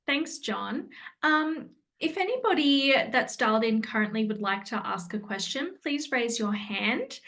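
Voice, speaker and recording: A woman moderating an online session in an informal way, inviting and asking questions with hesitant pauses between phrases. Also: fairly high pitch, animated, fairly bright tone. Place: online video call.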